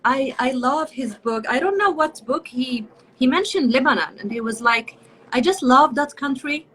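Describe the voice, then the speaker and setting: A woman hosts a casual live video chat. Her voice sits high and has a bright, forward edge. She talks in an effusive, gushing way. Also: expressive, moderate pace, a little hiss or hum, rough recording. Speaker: talk host.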